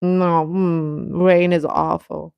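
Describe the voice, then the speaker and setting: A woman hosting a casual livestream chat, answering viewers informally and haltingly, often repeating short phrases. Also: lowish voice, little pitch movement, gravelly, clear, resonant tone, no echo, highs cut off. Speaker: livestream host chatting with viewers.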